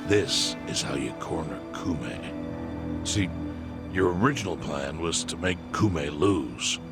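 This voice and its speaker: Deep gravelly calculating chairman. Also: animated, slow pace, over loud music, full character voice. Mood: calm persuasion. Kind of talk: English video game dub acting.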